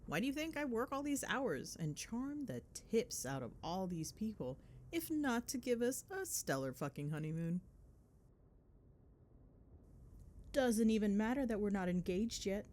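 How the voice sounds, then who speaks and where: Playful, animated girlfriend acted by a woman. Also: mid-range voice, moderate pace, slight background noise, natural voice. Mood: teasing, loving. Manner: playful, chatty, expressive, mildly sweary. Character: affectionate, teasing, excitable young girlfriend on a call. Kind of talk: ASMR girlfriend roleplay, phone-call monologue.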